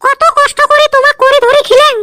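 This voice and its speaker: A woman voicing a jealous, complaining village wife in a comedy cartoon, with a western Assam accent and an artificially raised pitch. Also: little pitch movement, fast talker. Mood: nagging, hurt. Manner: complaining, melodramatic.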